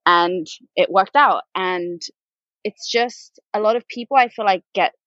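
A woman's voice: medium pitch, measured pace, dry recording. Chatty woman guest, dry dull sound. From Spain. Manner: chatty, rambling, with frequent ums and laughs. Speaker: podcast guest. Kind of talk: conversational interview.

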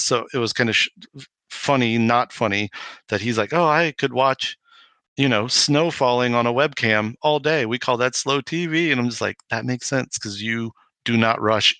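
Bright-voiced man telling stories. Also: mid-range voice, no room sound. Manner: rambling, animated retelling with quoted dialogue. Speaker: podcast guest, homebrewing video maker. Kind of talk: casual anecdotal interview talk.

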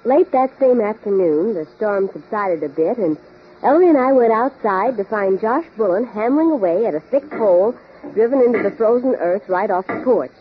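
A woman's voice: mid-range voice, lively intonation, normal speed, lightly acted. A woman playing an eager, chatty young American sidekick, narrating and acting in a 1930s radio drama. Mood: bright, storytelling. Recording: tinny, noisy 1930s radio recording.

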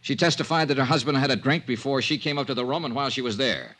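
An actor playing a shrewd, persistent insurance investigator in a 1950s radio drama, probing and wry. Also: male voice, mid-range voice, lively intonation, fast, fairly bright tone. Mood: insistent.

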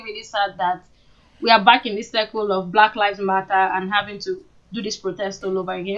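A woman with a Nigerian accent speaks at a middling pitch in a casual, earnest way. She sounds like a podcast co-host airing her opinions in conversation. The audio is a little dull and lo-fi. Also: a bit bright.

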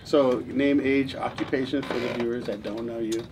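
A man hosts a casual podcast chat, blunt and fond of a joke. His voice sits at a middle pitch and comes from a distance, with voices chattering behind him. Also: steady tone, normal speed, a loud crowd. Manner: blunt, joking, steers the questions. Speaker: podcast host.

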